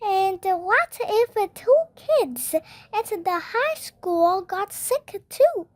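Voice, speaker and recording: A reader voicing a brisk, bossy, chirpy woman school nurse with an emphatic, sing-song way of instructing. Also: very high voice, sing-song, heavily acted.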